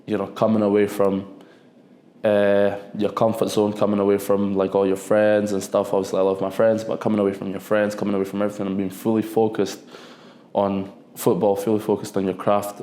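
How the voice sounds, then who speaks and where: A young man in his twenties, talking casually in a podcast interview, relaxed and rambling, often repeating himself. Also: fairly low pitch. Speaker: football defender, guest. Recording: echoey room.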